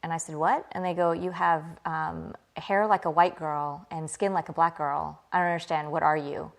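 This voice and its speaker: A woman with a slightly low voice speaks as an interview guest. She tells personal stories casually. Her manner is reflective and conversational. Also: fairly even intonation, normal speed, creaky. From born in England, grew up in small-town Virginia, USA. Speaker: interview guest, company CEO.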